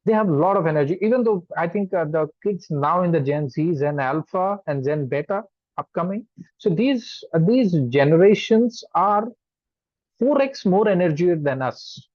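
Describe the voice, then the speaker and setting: A man's voice: high-pitched, expressive, normal speed, dry recording, dull audio. A man from Punjab with an Indian English accent, a psychologist giving earnest, wordy interview answers that he builds up in lists. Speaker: psychologist and guest.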